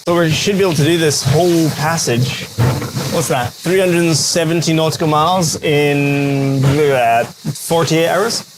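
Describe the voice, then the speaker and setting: A man's voice: fairly high pitch, unhurried, very noisy. A man chatting casually and wryly to camera as a sailing vlogger aboard a boat at sea. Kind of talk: casual vlog narration to camera.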